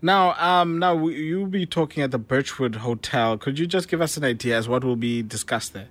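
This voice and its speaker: A man's voice: medium pitch, normal speed, fairly bright tone. A man hosting a radio interview, asking questions in a hesitant way with many fillers.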